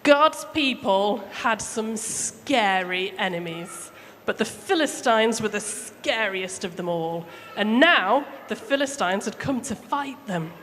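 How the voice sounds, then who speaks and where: Higher-voiced woman storyteller, echoing hall. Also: a bit bright. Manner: chatty, asks listeners questions, addresses children simply. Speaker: children's church storyteller. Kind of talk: interactive storytelling to children. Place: church hall during a service. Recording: echoey hall with background noise.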